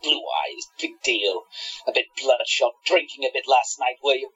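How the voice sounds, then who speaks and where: Radio drama villain male actor: haughty, entranced, breathy and raspy, drawn-out, slightly dull recording. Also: mid-range voice, fairly bright tone. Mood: scornful. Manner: theatrical, breathy, drawn-out delivery. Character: haughty villainous man falling into a hypnotic trance. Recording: dull lo-fi audio.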